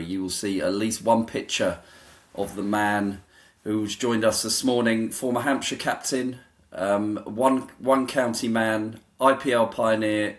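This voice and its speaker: Man hosting a conversational podcast interview. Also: on the low side. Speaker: podcast host.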